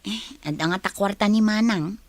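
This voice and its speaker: A woman's voice: mid-range voice, normal speed, no echo, close to an everyday voice. A woman playing a young working daughter in an audio drama, plaintive and grumbling, with an Ilocano accent. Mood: pleading. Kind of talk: Ilocano radio-style drama acting.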